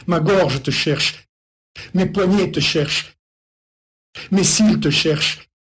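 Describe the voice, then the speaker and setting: A man reciting poetry as an incantatory litany, in short repeated phrases set apart by pauses. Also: a little high, fairly bright tone. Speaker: poet.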